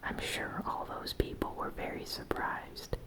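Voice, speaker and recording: A woman hosting gaming news in a breathy ASMR whisper, delivering it softly and deliberately in short phrases. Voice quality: breathy, fully unvoiced whisper. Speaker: host of a gaming news show.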